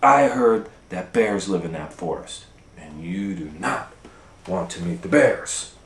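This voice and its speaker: A stern, cautioning mother from a children's tale, read by a man. The voice is lowish and hushed, each phrase slow and emphatic. It sounds like a roomy, echoing space. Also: expressive. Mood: stern warning.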